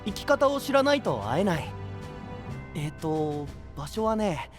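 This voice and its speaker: Breezy, animated young guy. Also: high voice, unhurried, over music. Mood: animated, explaining. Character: cheerful, easygoing young male guide to sea legends. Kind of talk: Japanese anime-style audio drama acting.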